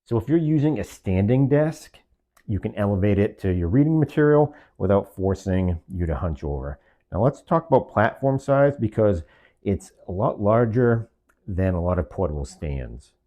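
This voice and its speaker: A man's voice: on the low side, big pitch swings, normal speed, warm tone. A man giving a semi-scripted product review, explaining features step by step in short phrases.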